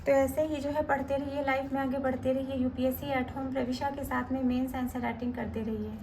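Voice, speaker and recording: A woman with a high voice, made hoarse and gravelly by a cold, talks through lesson notes as a teacher. She keeps posing a question to herself and then answering it. A faint hiss of noise sits under her voice. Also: level tone, fairly quick, a little mellow, rough recording. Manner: asks herself rhetorical questions before each answer. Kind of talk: exam-prep lesson reading from notes.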